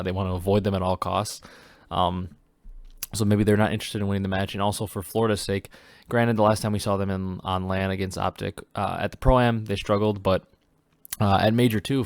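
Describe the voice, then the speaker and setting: A young man from Wisconsin hosts a solo podcast with a deep, low voice. He is stuffed up from a cold and rambles casually, with lots of "uh" fillers and restarts. The sound is dry and close, with no room echo. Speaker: podcast host.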